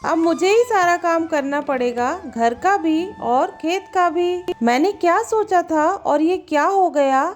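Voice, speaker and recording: High-pitched farmer's wife, played by a woman, exclamatory, dramatic, cartoon moral tale, faint music behind. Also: measured pace, hardly any pauses. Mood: regretful. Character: farmer's scheming, later remorseful young wife. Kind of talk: Hindi moral story cartoon dialogue.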